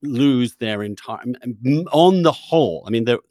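A man hosting a conversational, debate-like interview, probing and often halting as he repeats words while forming his points. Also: medium pitch, animated, a little mellow, no echo, studio quality. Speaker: podcast host and interviewer.

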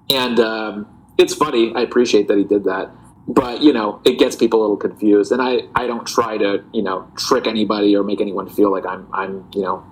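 Low-voiced relaxed man. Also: a little hiss or hum, low-quality recording. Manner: relaxed, reflective, many fillers and restarts. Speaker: guest, parody account writer. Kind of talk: casual interview talk.

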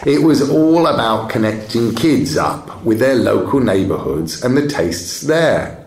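A man's voice: medium pitch, expressive, unhurried. A man voicing a proud old bookseller who relishes spinning out his stories in an expansive manner. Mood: enthusiastic. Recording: roomy echo.

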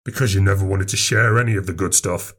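A man voicing a sullen, spiteful boy in an audiobook, with a gravelly, grumbly, contemptuous snarl. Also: deep voice, fairly even intonation, fast. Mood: spiteful, snide. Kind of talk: audiobook character voice.

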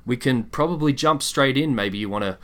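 A man's voice: medium pitch, fairly quick. A man co-hosting a casual sports podcast in a conversational way, thinking aloud as he goes. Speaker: podcast co-host. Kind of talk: casual basketball podcast chat.

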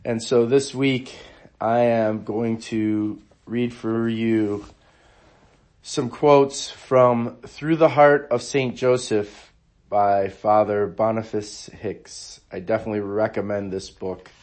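A hoarse man, under the weather, hosting a devotional podcast and reading quotes in an earnest, measured way. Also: lowish voice, fairly even intonation, a little mellow. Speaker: podcast host.

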